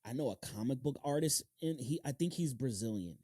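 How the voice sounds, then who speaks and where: A man's voice: mid-range voice, lively intonation, a little fast, mellow, husky. A man hosting a casual interview podcast, talking in slangy, emphatic, heavily profane language.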